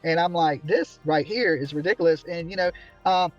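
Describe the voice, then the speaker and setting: A man's voice: on the high side, moderate pace, lo-fi sound, soft background music. A man ranting indignantly on a livestream from a small room, repeatedly asking "right?" as he complains. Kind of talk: heated livestream commentary.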